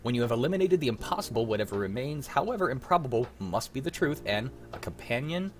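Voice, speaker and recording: A man's voice: medium pitch, very animated, fast, a little hiss or hum. A man hosting a casual livestream, chatting informally with friends and running a trivia game in an easygoing way. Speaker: host and quizmaster.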